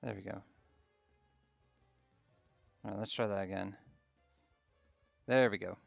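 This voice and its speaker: Gravelly low-voiced male streamer. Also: slow, no room sound, muffled recording, faint music behind. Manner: relaxed, chatty, fiddly with stream setup. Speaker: streamer host. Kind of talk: casual game stream chat.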